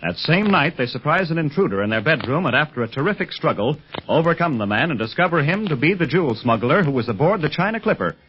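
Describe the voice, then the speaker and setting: Male serial announcer, 1930s radio narration, highish and very animated, dull recording. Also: quick pace. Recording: muffled 1930s radio recording, noisy music bed. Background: music.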